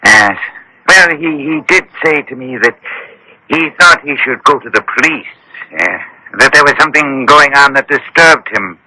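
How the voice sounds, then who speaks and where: A sensible family doctor in a radio drama. His voice is very bright and forceful at a middling pitch, explaining in measured phrases. The broadcast sound is close and dry. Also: expressive, no room sound, exaggerated voice, rough recording. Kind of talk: 1970s-80s radio drama acting.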